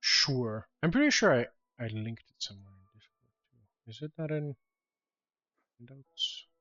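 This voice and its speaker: A man's voice: mid-range voice, huge pitch swings, unhurried, a bit bright, no room sound, faint music behind. Man game master, dry dull mic. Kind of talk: casual rules discussion during an online tabletop game. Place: online voice call.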